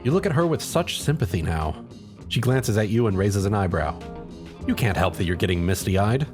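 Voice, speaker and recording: Brief: female storybook-style narrator, very deep register, faint music underneath. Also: expressive, normal speed, a little mellow. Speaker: fan fiction narrator. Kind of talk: scripted second-person story narration.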